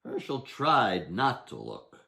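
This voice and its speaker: Older man, storyteller, unhurried pace, lively pitch movement, expressive reading aloud. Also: creaky. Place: indoor room at home, by lit candles.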